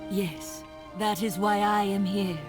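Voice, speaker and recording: An actress playing a stern, composed necromancer in a video game, speaking formally and with measured gravity. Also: female voice, medium pitch, steady tone, natural voice. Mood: solemn, resolute. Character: stern composed female necromancer come to aid the lands. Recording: dramatic game music behind.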